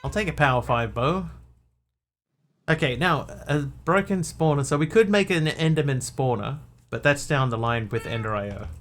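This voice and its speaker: A man's voice: medium pitch, a bit bright, slight background noise. Chatty man with a New Zealand accent. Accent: New Zealand with an Australian tinge. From Australia. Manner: chatty, rambling storyteller. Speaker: game streamer. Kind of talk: casual gaming chat.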